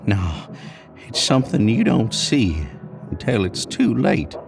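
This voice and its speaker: A man's voice: medium pitch, huge pitch swings, vocal fry, music in the background, voice pushed up, exaggerated voice. Man voicing a craggy drifter cowboy spinning sly tales. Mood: ominous. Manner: folksy frontier drawl, drawn-out storytelling. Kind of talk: Western audio storybook character.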